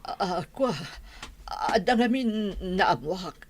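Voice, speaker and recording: A woman's voice: medium pitch, expressive, slow pace, a little hiss or hum. A woman playing an anxious village madam fretting over her daughter in an Ilocano radio drama.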